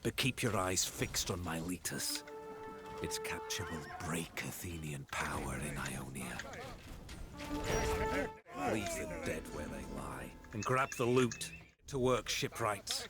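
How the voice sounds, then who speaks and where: An actor playing a ruthless, bitter, glory-hungry Spartan admiral, commanding and contemptuous in a theatrical video game style. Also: male voice, deep voice. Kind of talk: dramatic video game acting.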